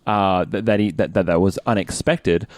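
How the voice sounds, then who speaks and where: A man with an Australian accent in casual podcast chat, animated and given to acting out reactions. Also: low-pitched, normal speed, dry recording, studio quality. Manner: animated, acts out quoted reactions. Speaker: podcast host and player.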